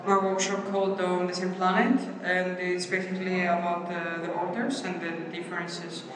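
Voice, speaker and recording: A woman, an actress and director answering an interview question haltingly, pausing often to search for words. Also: on the low side, completely flat delivery, noisy background. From Cyprus. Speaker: actress, director and workshop teacher. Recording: very echoey hall from far off, with crowd chatter.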